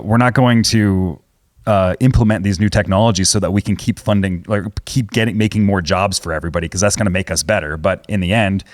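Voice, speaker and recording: A man's voice: lowish voice, no room sound, studio quality. A man co-hosting a casual political podcast, talking loosely with restarts and the odd laugh. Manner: chatty, stumbles and restarts, laughs while talking. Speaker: podcast co-host.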